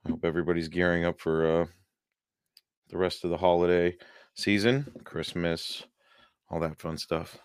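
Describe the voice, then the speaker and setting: A hoarse man hosting a paranormal podcast livestream, chatting casually and rambling with many fillers and chuckles. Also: sits low, fairly even intonation, normal speed, pauses often, no room sound, studio quality. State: hoarse, losing his voice.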